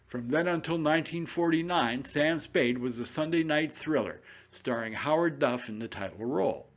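A man hosting a radio program, reading a scripted documentary-style introduction. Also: on the high side. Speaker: program host.

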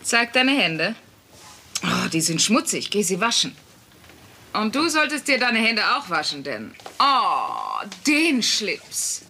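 Casting: nagging, sarcastic middle-aged sitcom wife, volatile and forceful, very animated delivery. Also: female voice, mid-range voice, takes it slowly, gravelly, a little hiss or hum, exaggerated voice. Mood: fussing. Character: bossy, volatile working-class wife and mother. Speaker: voice actor in a German sitcom dub. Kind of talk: sitcom dub acting.

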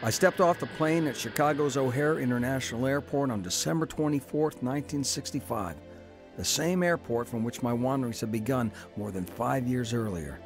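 An earnest middle-aged man narrates his story in a steady, measured way. A music bed plays clearly underneath. His voice sits at an ordinary pitch. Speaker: narrator and lead. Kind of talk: first-person dramatized narration.

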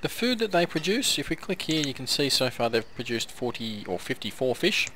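A man giving casual commentary while playing a strategy game, hoarse and coughing now and then as his voice wears out, chatting to his villagers as he goes. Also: medium pitch, sing-song, a little fast, bright, forceful tone, a little hiss or hum. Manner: chatty, talks to the game's villagers. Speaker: gameplay commentator. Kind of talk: casual let's-play commentary.

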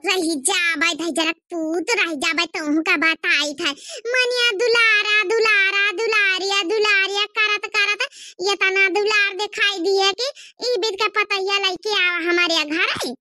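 An indignant cartoon village mother-in-law, scolds and exclaims in an Awadhi accent. Her voice is extremely high and piercingly bright, recorded dry and close. She is dramatic and repeats herself in scandalized bursts. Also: steady tone, measured pace, no echo, heavily acted. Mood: outraged. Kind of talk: comedy cartoon voice acting in dialect.

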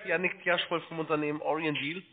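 A man working as a phone agent, giving halting, repetitive step-by-step instructions over a narrow phone line. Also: a little high, little pitch movement.

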